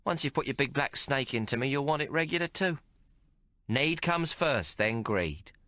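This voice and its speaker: A man's voice: medium pitch, animated, moderate pace, sharp tone, no room sound, voice pushed up. A man reading aloud and voicing a coarse, fiery young female prostitute. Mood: brazen. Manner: coarse, bold, mocking.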